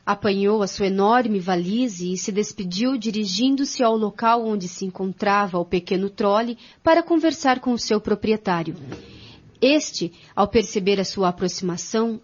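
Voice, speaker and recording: A woman's voice: mid-range voice, normal speed. A woman reading scripted narration for a radio drama. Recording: dull lo-fi audio over music.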